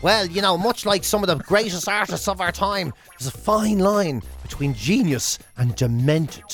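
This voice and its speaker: A man's voice: sits high, normal speed, fairly bright tone, faint music behind. An Irish man co-hosting comedic radio banter, telling stories in a mock-earnest way. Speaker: radio show co-host. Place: radio studio.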